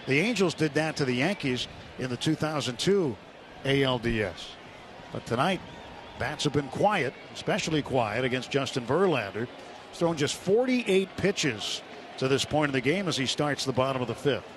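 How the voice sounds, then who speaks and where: A man's voice: mid-range voice, expressive, normal speed. Guy doing radio baseball play by play, medium voice, noisy ballpark behind him. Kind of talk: live baseball commentary. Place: outdoor ballpark broadcast booth. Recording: noisy open-air stadium broadcast.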